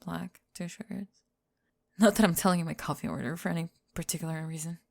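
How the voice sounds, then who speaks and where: A woman voicing a young goth bully girl in an ASMR roleplay, halting and defensive as she hides a crush. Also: lowish voice, voice pushed down. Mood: guarded, coy. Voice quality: breathy. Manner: tsundere, defensive, halting, backtracking.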